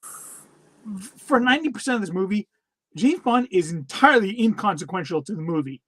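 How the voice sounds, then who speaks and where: A man's voice: sits high, lively intonation, normal speed, a bit bright, slightly rough, dry recording. A man co-hosting a podcast, sharing film trivia in a laid-back way with hesitant ums and uhs. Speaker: podcast co-host. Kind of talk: casual film review chat.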